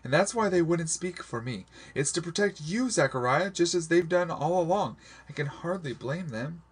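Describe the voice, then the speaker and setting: A man puts on an anguished young woman from a storybook, pitched well above his own voice. She is bitter and defiant, throwing out accusations with raw emotion. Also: big pitch swings, normal speed, breathy edge, heavily acted. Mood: tearful, accusing.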